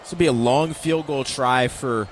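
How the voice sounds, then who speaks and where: An American man with a mid-pitched voice provides color commentary for a football game on the radio. He explains the action in a conversational way. Outdoor stadium noise sits behind his voice. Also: normal speed, some chatter behind. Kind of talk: live football analysis commentary.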